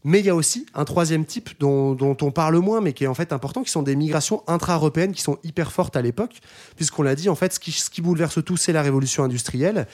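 A man's voice: fairly high pitch, a little mellow. A man co-hosting a casual discussion podcast, explaining at length with frequent fillers and restarts. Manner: quick, with many fillers and restarts.

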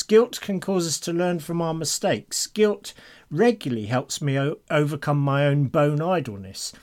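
A man co-hosting a podcast, chatting casually and reading out listener emails. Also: a little high. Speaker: podcast co-host.

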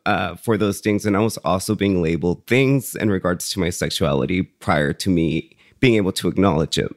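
Male interview guest from Mexico, low voice, soft-spoken and sincere, dry close sound. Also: dry recording. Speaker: interview guest, mental health advocate. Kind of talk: reflective interview answers.

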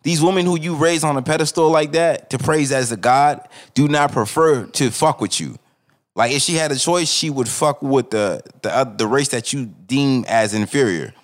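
A man's voice: mid-range voice, normal speed. A young man co-hosting a podcast in loose, profane casual chat, returning again and again to "you feel me". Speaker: podcast co-host.

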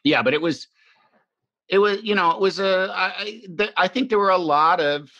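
A man's voice: sits high, normal speed, sharp tone, no echo. A middle-aged man from the American Midwest hosting a casual, reflective conversation, rambling and restarting his sentences and swearing now and then. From Illinois, US Midwest. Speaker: podcast host. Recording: slightly muffled dry recording.

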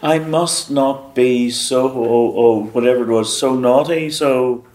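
A man's voice: mid-range voice, unhurried, darkish tone, low-quality recording. A man interviewing in a conversational, thoughtful questioning style.

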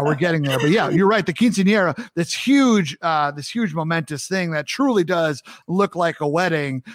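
High-voiced New Yorker hosting an interview. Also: male voice, no echo. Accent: New York. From New York. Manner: animated, rambling with restarts. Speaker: podcast host. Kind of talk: conversational interview.

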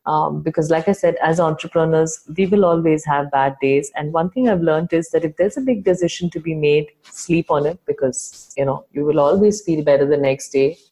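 A woman's voice: sits low, moderate pace, few pauses, warm tone, rough recording. A woman from Chennai, a Tamil speaker, answering podcast interview questions thoughtfully as an entrepreneur guest. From Chennai, India.

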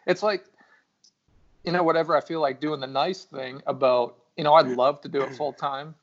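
A gravelly man in casual podcast chat, easygoing and understated as he tells how he got started. The audio sounds dull and lo-fi. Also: a little high, steady tone, normal speed. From lived in Texas. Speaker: guest metal artist.

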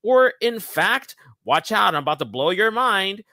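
Young guy doing a sassy podcast rant, swoops up and down a lot, bright voice. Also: high voice, unhurried, no echo, clean studio sound. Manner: emphatic, sassy, animated. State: recovering from lost voice. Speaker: podcast co-host running a fact-check segment. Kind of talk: scripted segment read, lively.

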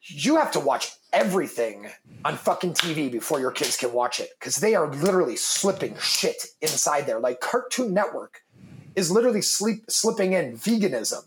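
A man in his thirties with a very deep voice, talking casually and emphatically in an interview, swearing freely and repeating words as he starts his thoughts. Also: big pitch swings, normal speed, slight background noise, rough recording. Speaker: guest, business founder and father.

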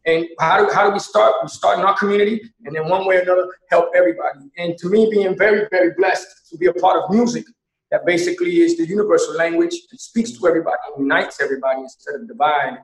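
A man's voice: high voice, fast, roomy sound, rough recording. A man guest speaking earnestly and motivationally, mixing in Spanish phrases. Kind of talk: casual chat turning to earnest appeal.

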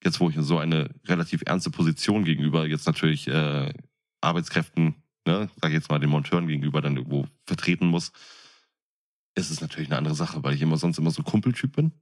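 A man's voice: rumbling low, fast talker. A man co-hosting a podcast in casual chat, with a jokey, colloquial, buddy-like way of talking. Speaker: podcast co-host.

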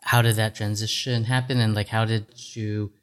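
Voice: mid-range voice, level tone, moderate pace, clear, resonant tone. A podcast host interviewing a guest, giving short encouraging replies and asking open questions. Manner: encouraging, frequent short affirmations. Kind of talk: casual interview.

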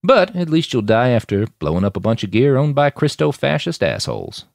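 A man's voice: medium pitch, very animated, fairly quick, vocal fry, no room sound, pitched above the performer's own voice. A man acts out a sly, cynical mercenary boss from an audiobook. He is blunt and scoffing, swinging animatedly through his lines in a close, dry sound. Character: sly, cynical, foul-mouthed male mercenary boss, long-lived. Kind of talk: audiobook character dialogue.

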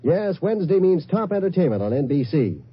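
A man's voice: a little high, sing-song, brisk, breathy edge. A man announcing network radio promotions in a polished, emphatic 1950s style. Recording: slightly dull vintage radio broadcast.

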